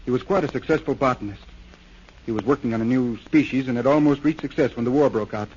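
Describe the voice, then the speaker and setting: A man's voice: medium pitch, fast talker, darkish tone, slightly rough, natural voice. A man playing a troubled young war veteran in a 1930s radio drama, speaking in an earnest, hesitant, confessional way. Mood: earnest confessing. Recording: muffled 1930s radio recording.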